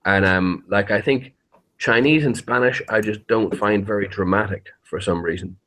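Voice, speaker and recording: A man's voice: on the low side, normal speed, fairly bright tone. A man chatting casually as an interview guest, rambling and hesitant, with a joking streak and easy swearing. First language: English. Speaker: interviewed YouTube horror story narrator.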